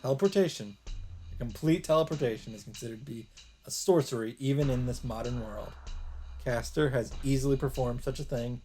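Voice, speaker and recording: A man narrating a visual novel aloud as a let's player, in a measured, casual read-aloud delivery. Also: medium pitch, mellow, slight background noise.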